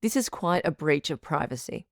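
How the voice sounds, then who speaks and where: A woman playing a supportive, frank doctor who hosts a radio advice show in an audio drama, measured and reassuring. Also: low-pitched, big pitch swings, gravelly, dry recording, studio quality, heavily acted. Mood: firm. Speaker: radio call-in host.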